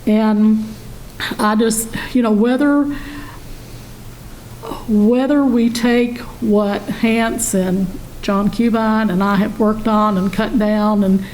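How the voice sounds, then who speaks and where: A woman's voice: medium pitch, fairly even intonation, slow, a little mellow, poor audio. A woman board member explaining things off the cuff at a public board meeting. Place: indoor meeting room. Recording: faint background noise in meeting room.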